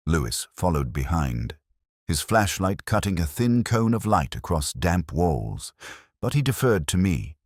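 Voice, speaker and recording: Detective narrator for mystery audio drama, male actor: bass-deep, patient, grave and methodical, recorded close and dry. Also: very animated, no room sound, full character voice. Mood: ominous, guarded. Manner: measured, deliberate, grave. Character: brooding male detective inspector, methodical, literary, patient, solemn. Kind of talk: scripted first-person mystery narration.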